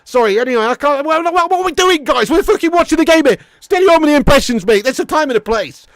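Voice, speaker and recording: Male football stream host: high-pitched, matey and sweary, bantering with chat, close dry sound. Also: animated, fast talker, no echo. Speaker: livestream host of a football watch-along. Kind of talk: casual reactive match commentary and chat.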